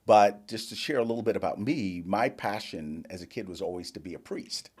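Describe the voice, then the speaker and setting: An older man who grew up in the Bahamas, a guest in a casual interview, telling his life story with lively quoted dialogue. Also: on the low side, a little fast, breathy edge. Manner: storytelling, animated quoting of others.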